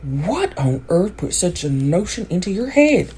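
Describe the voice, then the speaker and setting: A woman's voice: on the low side, big pitch swings, a little slow, warm tone, husky, full character voice. Woman acting a nosy, easily scandalized neighbour lady. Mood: disapproving. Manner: demanding, exclamatory. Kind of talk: storybook character voice in an audiobook reading.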